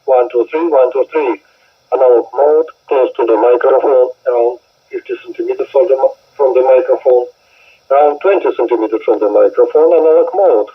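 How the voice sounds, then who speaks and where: A man's voice: mid-range voice, big pitch swings, brisk, breathy edge. A man doing a microphone test in clipped, repetitive phrases, heard over a walkie-talkie. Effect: walkie-talkie radio transmission. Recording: tinny, narrow-band two-way radio audio, distant.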